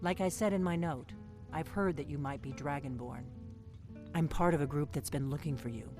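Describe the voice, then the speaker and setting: A woman's voice: sits low, moderate pace. An actress voicing a guarded, driven veteran female agent in a video game, speaking tersely and with command. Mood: wary. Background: game music.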